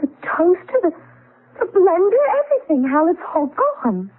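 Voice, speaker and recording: American female, wide-eyed young wife; very animated, dramatic delivery; vintage seventies radio play, narrow broadcast sound. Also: extremely high pitch, moderate pace. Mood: frightened awe. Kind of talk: 1970s radio drama acting. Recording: band-limited old radio broadcast.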